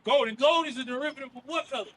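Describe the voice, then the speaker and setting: Loud high-voiced street preacher man. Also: rapid-fire. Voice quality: loud and projecting, near yelling. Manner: asks the crowd questions and answers them. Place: outdoors on a city street. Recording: far from the mic with street noise.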